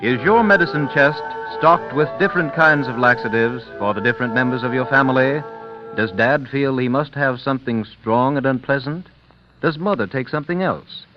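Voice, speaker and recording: A man's voice: mid-range voice, moderate pace. A man announcing a scripted old-time radio commercial with a polished, persuasive delivery. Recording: dull old radio recording, music.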